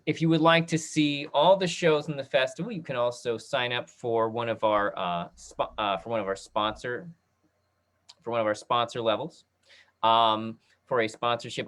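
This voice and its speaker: A man moderates an online audience talkback over a video call. He speaks informally and haltingly, often doubling back on phrases and excusing himself as he makes announcements. His voice sits at an ordinary male pitch. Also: fairly bright tone. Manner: halting, repeats and restarts phrases, apologetic.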